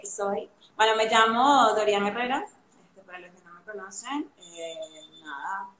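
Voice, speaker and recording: Brief: young Venezuelan woman, lively, chatty interview guest, medium pitch, roomy echo. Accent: Venezuelan Spanish. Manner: chatty and animated, many fillers, laughs easily. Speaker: guest artist. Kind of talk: casual interview chat.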